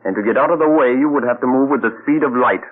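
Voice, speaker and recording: A man's voice: mid-range voice, natural voice. A man playing a cold, menacing mad scientist. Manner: cool, measured, quietly threatening. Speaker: villain inventor. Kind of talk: 1940s radio acting. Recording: tinny old radio broadcast.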